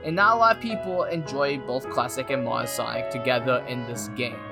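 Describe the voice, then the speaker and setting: A video game reviewer narrating an enthusiastic scripted review in an animated, exclamatory and emphatic way. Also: mid-range voice, a little fast, creaky. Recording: music playing behind. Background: music.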